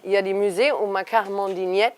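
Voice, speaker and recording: A woman's voice: mid-range voice, steady tone, fairly quick, clean tone. A woman from Germany, an artist being interviewed, talking thoughtfully and animatedly with frequent restarts. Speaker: artist guest. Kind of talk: interview conversation.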